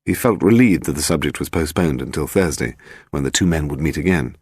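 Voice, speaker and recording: A British man narrates fiction in a low voice with plenty of melodic movement. The reading is scripted storytelling, recorded close and dry. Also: expressive, brisk, slightly rough, no room sound. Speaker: audiobook narrator.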